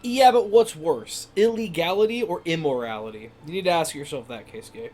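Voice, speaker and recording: A man's voice: fairly high pitch, lively intonation, moderate pace, a crowd in the background. A man giving casual, slangy gameplay commentary with wry reactions. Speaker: gaming streamer. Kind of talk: casual live game commentary.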